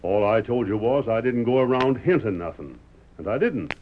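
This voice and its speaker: A man's voice: mid-range voice, expressive, normal speed, close to an everyday voice. An actor playing a stubborn, unruffled American rancher and father with a folksy, wry drawl in an old radio western. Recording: noisy, tinny old radio recording.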